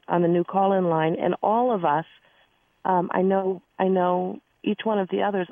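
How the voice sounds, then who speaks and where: A hoarse woman calling into a radio show as a spiritual advisor, speaking earnestly and groping for words. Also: lowish voice, dry recording. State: hoarse, lost her voice. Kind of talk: phone-in radio conversation. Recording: thin phone line, dry.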